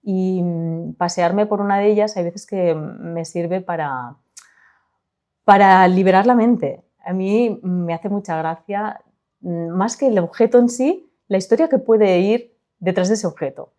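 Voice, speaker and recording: A woman with a Spanish accent from Spain talks in a lively, expressive way, then narrates her own tale. Her voice is mellow and dark, at a medium pitch, and close to a studio mic. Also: a little slow. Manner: lively, expressive, gesturing while talking. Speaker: author reading her own story. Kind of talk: chatty interview then storytelling narration. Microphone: studio microphone.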